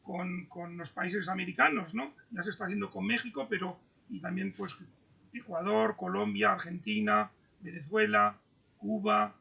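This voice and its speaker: High gravelly guy hosting a zoom q&a, husky, muffled lo-fi sound, keeps stumbling. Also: sharp tone. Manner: halting, stumbles and repeats words. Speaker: moderator reading audience questions. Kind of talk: online Q&A moderation. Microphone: video call. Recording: dull lo-fi video call audio.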